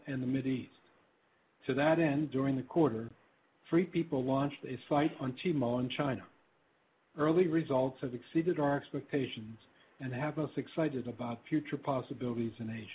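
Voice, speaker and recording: Tinny telephone sound, faint noise, male executive, mid-pitched voice, scripted remarks, formal and measured. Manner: formal, measured business delivery. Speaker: company executive on an earnings conference call. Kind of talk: scripted prepared remarks, read aloud. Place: conference call line. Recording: tinny phone-line conference call with faint noise.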